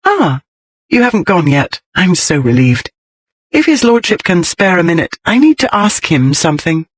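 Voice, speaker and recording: A man's voice: sits high, sing-song, normal speed, no echo, pitched above the performer's own voice. Man putting on a defiant young noblewoman. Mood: sweet. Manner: pert, insistent, rising to anger.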